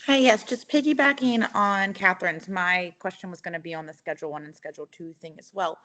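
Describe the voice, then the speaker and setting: A woman's voice: on the low side, a little fast, pushed and bright, low-quality recording. A woman taking part in a work conference call, asking questions in an informal way. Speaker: meeting participant. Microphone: online conference call.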